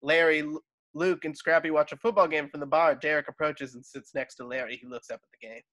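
Man narrating, slightly high voice. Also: steady tone, brisk, fairly bright tone. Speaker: narrator of a table read. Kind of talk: reading screenplay stage directions aloud. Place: at home on a video call.

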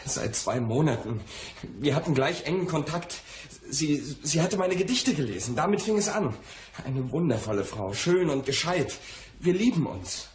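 An actor playing an anxious, spoiled young poet in a radio crime drama, speaking in an agitated, halting way. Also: male voice, on the high side, sing-song, a little hiss or hum. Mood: eager, agitated. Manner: agitated, halting, repeats words. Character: anxious, spoiled young poet in his twenties, pleading lover.

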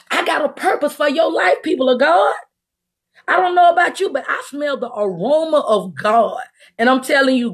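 A middle-aged woman preacher giving a fervent, emphatic livestreamed deliverance sermon in a husky voice. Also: high voice. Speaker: preacher, self-styled apostle leading prayer. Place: room in a house, indoors.

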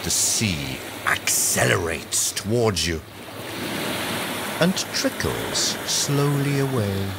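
Low male voice doing spoken word poetry, super slow and spaced out, loud waves all around. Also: big pitch swings, gravelly, heavy background noise. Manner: measured, spaced-out single words and short phrases. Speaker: narrator, poetry reader. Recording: loud ocean waves behind the voice. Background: sea surf and lapping water soundscape.

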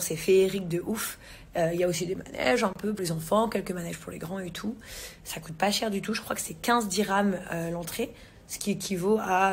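A woman's voice: mid-range voice, a little hiss or hum. A woman in her thirties, born in England, chatting casually to her followers into a phone camera in a large living room, with lots of fillers, restarts and slang. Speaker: vlogger. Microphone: phone camera at arm's length.

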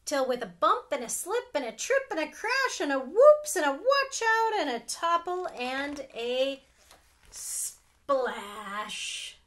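A middle-aged woman reading a picture book aloud to children, expressive and sing-song, with casual asides. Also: sits high, takes it slowly. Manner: expressive, sing-song, asking the listeners questions. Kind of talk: animated read-aloud storytelling with casual asides. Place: small room at home with sloped ceiling.

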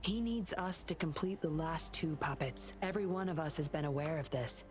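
An actress voicing a sly, teasing young puppeteer woman in a game, low and smooth. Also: moderate pace, darkish tone, lightly acted. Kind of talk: game voice acting.

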